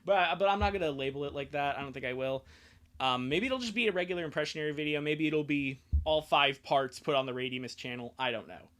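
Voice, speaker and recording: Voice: mid-range voice, quick pace, fairly bright tone. A livestreamer chatting casually and rambling, easily sidetracked and self-deprecating.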